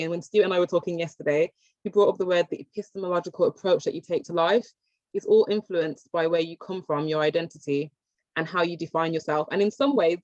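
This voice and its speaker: A woman's voice: lowish voice, a little mellow, dry recording. A British woman giving an informal, chatty online workshop presentation, with many fillers and restarts. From England. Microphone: video call. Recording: muffled dry video call audio.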